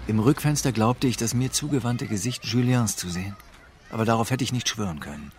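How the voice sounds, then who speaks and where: A man's voice: brisk, background noise, natural voice. An actor playing a weary, melancholic homeless man who broods over his lost family in a radio drama, with subdued delivery. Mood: resigned, brooding. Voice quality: low, gravelly. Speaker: narrator and protagonist. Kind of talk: radio drama first-person narration and dialogue.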